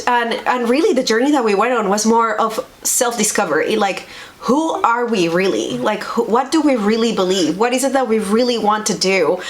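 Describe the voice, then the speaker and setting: A woman's voice: on the high side, slight background noise. A woman from Colombia, a native Spanish speaker, telling personal stories conversationally and earnestly, repeating phrases for emphasis. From Bogota, Colombia. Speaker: vlog co-host, pastor.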